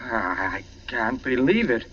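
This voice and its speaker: An actor playing an earnest, overwrought young husband in golden-age radio drama, speaking in halting, pleading phrases. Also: male voice, mid-range voice, sing-song, fairly bright tone, breathy edge, full character voice. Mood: anguished, stunned. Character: earnest, anxious young husband desperate for the truth. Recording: dull, lo-fi old radio recording.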